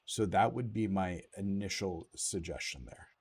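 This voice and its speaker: A hoarse, sick man giving a casual gameplay walkthrough, halting with lots of ums as he saves his voice. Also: low voice, darkish tone, a little hiss or hum. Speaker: game commentator.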